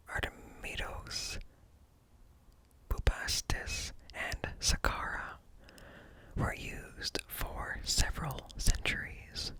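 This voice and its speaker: A man reading informational text in a slow, hushed, breathy whisper for ASMR, close to the microphone. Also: rough recording. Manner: slow, deliberate, hushed, broken into short phrases. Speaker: ASMR host. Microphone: close ear-to-ear microphone.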